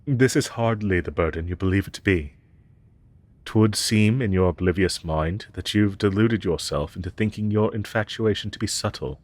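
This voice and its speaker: Man acting as a pompous deep-voiced ancient dragon professor. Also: natural voice. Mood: condescending. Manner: pompous, archaic, formal diction; disdainful and deliberate. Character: haughty ancient male dragon professor, condescending to humans. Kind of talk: roleplay audio drama, scripted monologue.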